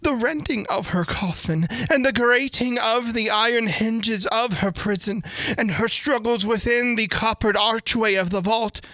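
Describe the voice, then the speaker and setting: Gothic audiobook role: hysterical, tormented aristocrat madman, sharp-edged, dry close sound, gibbering with dread. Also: measured pace, dry recording. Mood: frantic terror. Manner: gibbering low murmurs breaking into shrieks. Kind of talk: dramatic gothic audiobook character.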